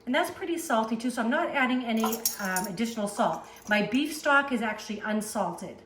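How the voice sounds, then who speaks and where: A woman with a Northeastern US accent hosts a casual cooking demonstration. Her voice sits a little high, and she chats upbeat as she works. The home kitchen gives it a roomy echo, with faint cooking sounds behind. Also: lively intonation, brisk, fairly bright tone, a little hiss or hum. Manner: chatty, upbeat, talks while working. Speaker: cooking channel host.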